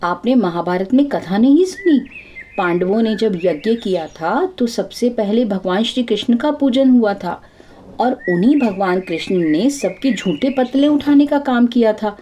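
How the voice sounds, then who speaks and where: A woman storyteller voicing a pious, headstrong young daughter-in-law in a folk tale, earnest, instructive and gently bossy. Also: fairly high pitch, lively intonation, a little slow, a little hiss or hum.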